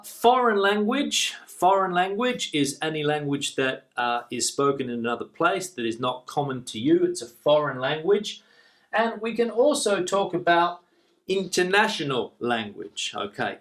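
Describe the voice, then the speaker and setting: An Australian man gives an English lesson, explaining each word patiently and saying the key terms twice. His voice sits a little higher than most men's. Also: very animated, a little slow, fairly bright tone. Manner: clear explanatory teaching, repeats key words. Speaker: English teacher.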